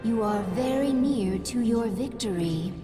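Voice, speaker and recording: A woman's voice: a little high, lively intonation, a little slow, over music. A woman voicing a devoted alien servant of a swarm queen in a video game, formal and reverent. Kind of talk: video game cinematic acting.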